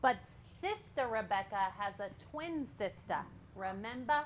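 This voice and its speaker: An actress playing a cheeky, mischievous younger sister in a staged reading of a comedy play. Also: female voice, medium pitch, expressive, unhurried, close to an everyday voice. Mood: musing.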